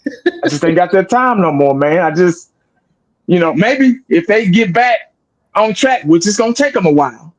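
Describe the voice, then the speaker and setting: Man, forties, sports creator; slightly high voice, blunt and profane, rambling delivery with restarts. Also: lively intonation, normal speed, rough recording. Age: 40s. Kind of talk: casual podcast chat.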